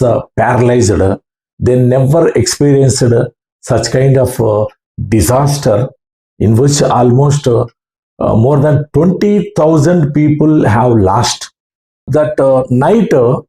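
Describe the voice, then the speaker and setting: Man, South Indian accent, slow halting delivery, mellow tone, personal recollection, roomy echo. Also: medium pitch. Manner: halting, earnest delivery in short phrases. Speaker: amateur radio operator. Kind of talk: recounting personal experience. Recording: roomy, echoing room sound.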